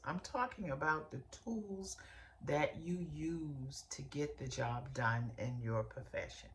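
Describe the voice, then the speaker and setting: A middle-aged woman hosting a motivational podcast lesson, hoarse from a summer cold, with a raspy, bassy voice and a chatty manner that speaks straight to her listeners. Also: a little hiss or hum. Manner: warm, chatty, direct address to listeners. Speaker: podcast host, hairstylist and coach.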